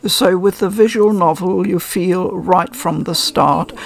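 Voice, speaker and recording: A woman giving a measured, thoughtful and appreciative review in a casual explanatory style. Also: fairly low pitch, creaky. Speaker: reviewer presenting a visual novel unboxing.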